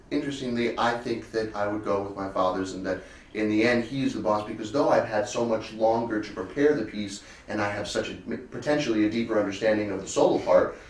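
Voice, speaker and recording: A man in his twenties giving a thoughtful, measured and articulate interview answer, often rephrasing himself. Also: fairly low pitch. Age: 20s. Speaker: cello soloist, interviewee. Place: furnished indoor room, seated on sofa. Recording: roomy echo, distant mic, faint noise.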